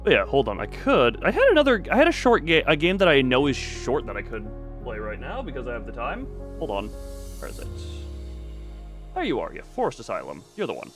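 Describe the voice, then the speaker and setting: Animated male streamer thinking aloud over music. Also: medium pitch, a little fast. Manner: distracted muttering, thinking aloud. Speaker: livestreamer. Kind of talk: casual livestream chat. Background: background music.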